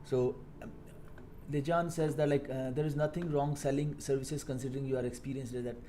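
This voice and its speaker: A man in his thirties from India with an Indian accent, talking casually on a coding live stream, halting with many fillers and repeated words. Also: medium pitch, fairly even intonation, warm tone, a little hiss or hum. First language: Hindi. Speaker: live-streaming software engineer.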